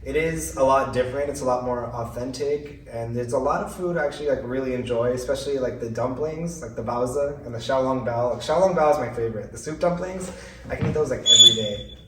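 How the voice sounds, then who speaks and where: Man, relaxed storytelling, lots of fillers, echoing room, light hiss. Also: medium pitch, fast talker. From California, USA. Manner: relaxed, chatty, many "like" and "you know" fillers. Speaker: guest. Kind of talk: casual storytelling. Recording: roomy, distant mic, faint noise.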